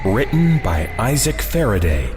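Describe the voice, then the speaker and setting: Slow, theatrical male horror host. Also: lowish voice, sing-song. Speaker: host announcer. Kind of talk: theatrical scripted story introduction. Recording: long reverb, prominent music bed.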